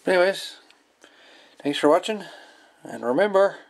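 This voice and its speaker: A man's voice: high voice, lively intonation, normal speed, creaky. A man hosting a casual hands-on electronics demo, rambling and thinking aloud as he goes. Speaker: hobbyist video host.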